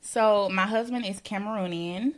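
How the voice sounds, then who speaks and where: A woman's voice: mid-range voice, moderate pace. A woman from Mississippi, a working mother, talking through her experiences in a casual podcast conversation, thinking aloud and leaning on "you know" and "um" as she goes.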